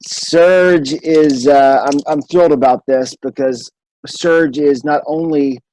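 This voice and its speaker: A male podcast host introducing his guest with enthusiasm, often pausing on fillers. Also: a little high, steady tone, a little mellow, low-quality recording. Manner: enthusiastic, halting, many fillers. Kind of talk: casual interview. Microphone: remote recording.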